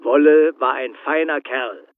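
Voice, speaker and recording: An actor playing a guarded, defensive former escape helper in a radio drama, curt and reluctant, heard through a telephone filter. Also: male voice, mid-range voice, fairly even intonation, a little slow, slight background noise. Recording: thin, tinny phone line.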